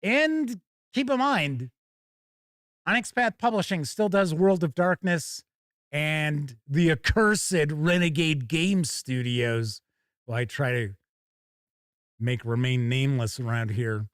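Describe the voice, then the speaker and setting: A man's voice: a little high, slow pace, fairly bright tone, no room sound, clean studio sound. A man hosting a tabletop gaming show in a casual live-stream style, candidly giving opinions and rambling through anecdotes.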